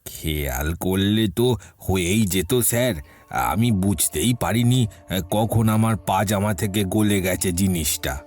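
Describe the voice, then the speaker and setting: A man's voice: on the low side, takes it slowly, a little mellow, soft background music. A man playing an anxious, deferential older household servant in an audio detective drama, pleading and stammering. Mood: nervous, evasive. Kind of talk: audio detective story acting.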